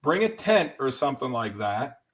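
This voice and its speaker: A man's voice: mid-range voice, very animated, normal speed. A man co-hosting a podcast, explaining animatedly in casual chat and often restarting his words. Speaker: podcast co-host.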